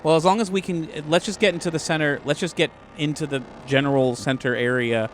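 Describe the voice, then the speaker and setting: A man's voice: a little high, steady tone, brisk, a little hiss or hum. A man playing a tabletop game, talking through plans and repeating himself as he thinks. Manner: talks through plans, stammers and repeats words. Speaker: player. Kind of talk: casual tabletop play.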